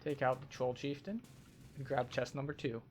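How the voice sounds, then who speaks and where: A man's voice: medium pitch, level tone, moderate pace, noisy background. A man giving matter-of-fact walkthrough commentary as a game tour guide, explaining things as he goes. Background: game music.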